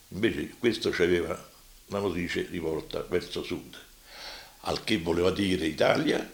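A man voicing an elderly former deportee with a gravelly voice and Roman accent, giving halting spoken testimony. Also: low voice. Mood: moved recollection. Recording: roomy, echoing voice.

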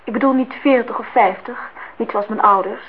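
Actress as indignant young woman, higher voice, outspoken, reproachful, radio play, muffled recording with hiss. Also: normal speed, close to an everyday voice. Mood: earnest, reproachful. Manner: reproachful, talks in long outpourings. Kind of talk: Dutch radio play acting. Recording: dull, noisy old radio play recording.

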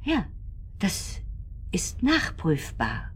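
A haughty married woman in a radio play. Her voice is husky and moves through wide, lively swings of pitch, and her formal, condescending phrasing keeps her scornful and evasive. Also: extremely slow, full character voice. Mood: coolly defiant. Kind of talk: radio drama acting.

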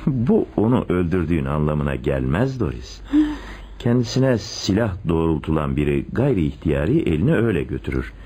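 A scheming police chief in a radio play, really low voice, swoops around, deliberate and probing. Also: male voice, very animated, mellow, slightly rough, heavily acted. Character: calculating police chief secretly in love with a suspect. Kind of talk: radio drama acting. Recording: radio drama with audible background noise.

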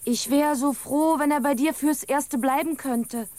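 A fisherman's wife in a radio drama, tender and anxious. Her voice sits fairly high and she speaks slowly, with a motherly, pleading manner. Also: a little mellow. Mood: urgent, pleading. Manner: pleading, motherly, breathless urgency when alarmed. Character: tender, worried fisherman's wife protecting a foundling. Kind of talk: German radio drama acting.

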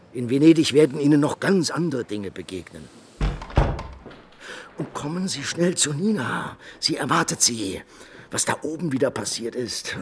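An actor in a German radio play voicing a brash, gossipy Venetian fixer, brisk and worldly in manner. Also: male voice, medium pitch, lightly acted. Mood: dismissive, urging.

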